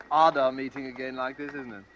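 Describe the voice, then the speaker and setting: An actor playing an affable, smooth-talking, faintly sinister gentleman stranger in an old-time radio drama, smoothly inquisitive. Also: mid-range voice, muffled recording, slight background noise, close to an everyday voice.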